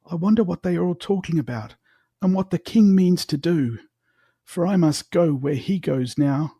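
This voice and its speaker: A man's voice: a little high, expressive, normal speed, mellow, husky, no echo, clean studio sound, voice pushed up. A man voicing a gloomy, anxious young hobbit in a dramatic storybook reading, lamenting and agitated. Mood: gloomy resignation. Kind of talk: dramatic audiobook reading of fantasy.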